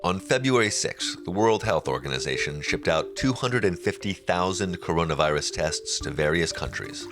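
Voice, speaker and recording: A man's voice: low voice, animated, moderate pace, hardly any pauses, over music. A man reading scripted narration for a news documentary.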